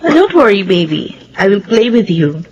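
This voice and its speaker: A woman playing a gentle, doting mother in a radio drama, affectionate and encouraging. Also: medium pitch, big pitch swings, unhurried, highs cut off, slight background noise.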